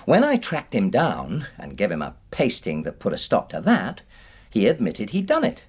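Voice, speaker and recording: An actor playing an aggrieved, defensive middle-aged British husband in a radio drama, indignant and self-justifying. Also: male voice, on the low side, huge pitch swings, normal speed, husky, exaggerated voice. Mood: bitter, boastful.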